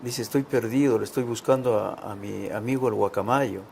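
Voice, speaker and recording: A man with a gravelly voice, talking casually and haltingly as a guest author. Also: lowish voice, darkish tone, slight background noise. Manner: hesitant, halting phrasing. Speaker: guest author, children's writer. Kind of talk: casual interview talk and storytelling.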